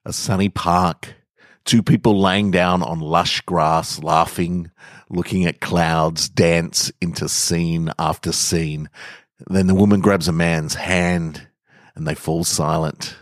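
A man hosting a podcast and reading literary stories in a measured, deliberate way. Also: sits low, little pitch movement, no echo, studio quality. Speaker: podcast host and story reader. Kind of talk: literary story narration and podcast outro.

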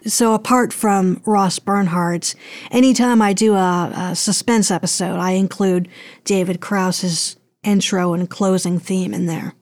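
Halting woman podcast guest, dry sound. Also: on the low side, steady tone, darkish tone, no echo. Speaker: podcast guest, audio producer. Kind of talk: casual interview chat.

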